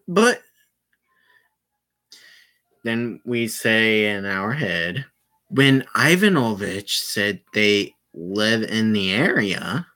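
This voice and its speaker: This is a game streamer with a bright, pushy edge to a mid-pitched voice. The delivery is slow and informal, loose with swearing, moving between chat to viewers and reading game dialogue out loud. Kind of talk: casual live stream, reading visual novel dialogue aloud.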